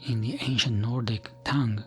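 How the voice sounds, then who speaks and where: A man narrating fantasy lore as soft ASMR, gentle and soothing, with a breathy voice that often nears a whisper. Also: medium pitch, steady tone, soft background music. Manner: gentle, soothing, unhurried storytelling.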